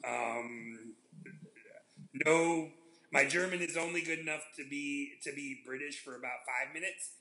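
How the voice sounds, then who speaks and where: A man from the Southeast United States with a nasal voice, co-hosting a casual sports podcast and rambling through jokes with halting restarts. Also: fairly high pitch, sharp tone, rough recording. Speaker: podcast co-host.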